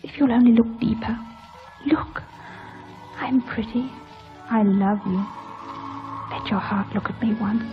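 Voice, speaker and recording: An actress playing a desperate, lovelorn young wife, earnest and imploring, in an old-time radio drama. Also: female voice, on the high side, fairly even intonation, measured pace, long pauses, close to an everyday voice. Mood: pleading. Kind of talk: 1940s-50s radio drama acting. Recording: muffled old radio broadcast with music.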